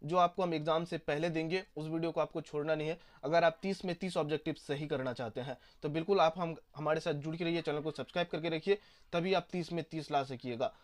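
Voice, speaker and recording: A man's voice: fairly high pitch, steady tone, fast. A young man, walking students through exam questions and repeating each answer. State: hoarse. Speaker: teacher.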